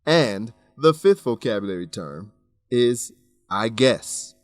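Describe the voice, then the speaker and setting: A man hosting an English lesson as scripted narration, measured, instructive and clearly enunciated. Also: mid-range voice, animated, no room sound, faint music behind. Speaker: host and English teacher.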